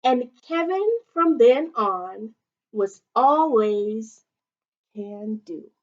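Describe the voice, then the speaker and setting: A woman's voice: a little high, lively intonation, drawn-out words, dull audio. A young woman reading a picture book aloud like a teacher, dramatically stretching out words and putting questions to children. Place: small indoor playroom.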